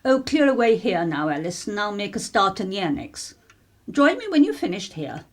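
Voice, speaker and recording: A woman's voice: medium pitch, sing-song, moderate pace, background noise, natural voice. A woman playing a genteel, chiding upper-class mother from the 1930s in a radio play, with an English accent. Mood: soothing, brisk.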